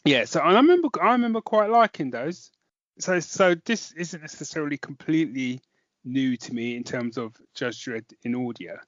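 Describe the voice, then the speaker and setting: A man's voice: fairly high pitch, expressive, normal speed, a bit bright, dry recording. Dull-sounding, dry British man chatting casually. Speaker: podcast co-host and reviewer. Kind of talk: casual chat podcast review.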